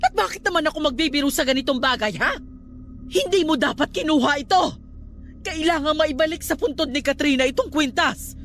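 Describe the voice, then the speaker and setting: High-pitched, scolding Filipino aunt. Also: female voice, brisk, a bit bright, slight background noise. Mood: stern. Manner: scolding, dramatic, emphatic. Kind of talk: radio drama acting.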